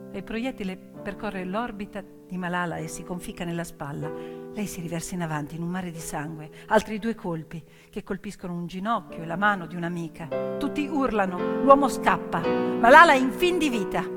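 A woman's voice: medium pitch, big-room sound. A woman performing dramatic theatrical narration as a stage storyteller, with piano accompaniment. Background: piano music.

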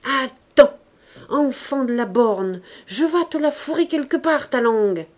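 Woman doing a loud jeering old cook. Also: high voice, lively intonation, measured pace, pitched above the performer's own voice. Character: old boozy female cook, coarse and mocking.

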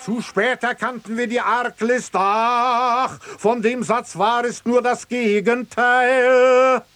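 An actor playing a pompous, greedy old priest in a classical comedy radio play, declaiming with grand rhetoric. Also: male voice, very high voice, fairly even intonation, slow pace, a bit bright, soft background music, heavily acted. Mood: declaiming, indignant. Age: older.

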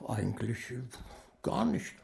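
An elderly retired estate agent for a radio play. His voice is gravelly and he speaks very slowly, hesitating as he reflects. He sounds lonely and burdened with old guilt. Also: fairly low pitch, very animated, a little mellow, a little hiss or hum, heavily acted. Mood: reflective. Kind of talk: radio drama acting.

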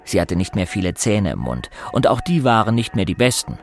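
An actor narrating a first-person story of boyhood in a German audio drama, plain and measured. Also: male voice, fairly low pitch, very animated. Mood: matter-of-fact. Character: male narrator looking back on a rough boyhood. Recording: faint music behind.

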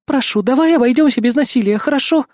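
A narrator voicing a sweet, timid and devoted young warrior woman with a gentle, eager manner in an audiobook story. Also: high voice, brisk, dry recording, studio quality, pitched above the performer's own voice, lightly acted. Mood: gentle pleading.

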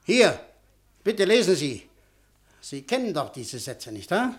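An imperious, irascible boss of a man in a radio drama. His voice sits a little high, and he blusters and interrogates as if used to being obeyed. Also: very animated, slow, heavily acted. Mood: agitated, demanding. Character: domineering, irascible, threatening man of power, easily incensed. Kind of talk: radio play acting.

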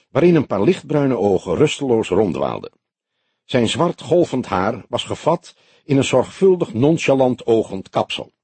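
Husky, expressive male audiobook narrator. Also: mid-range voice, no room sound. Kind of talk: scripted literary narration of a crime novel.

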